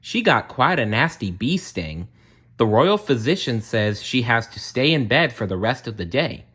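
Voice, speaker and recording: A man voicing a sweet, modest young princess-to-be from a storybook, gentle and earnest. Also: mid-range voice, big pitch swings, normal speed, breathy edge.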